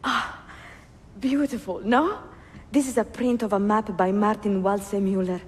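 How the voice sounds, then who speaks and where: A woman's voice: mid-range voice, fairly even intonation, measured pace, husky. A woman playing an educated, poised young Italian bookseller in a video game, articulate, with an Italian accent and a reverberant sound. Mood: eager, curious. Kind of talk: game cutscene acting.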